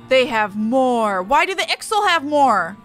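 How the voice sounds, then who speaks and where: A woman giving casual game stream commentary in an expressive, playful way, sometimes swearing. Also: sits high, measured pace, soft background music.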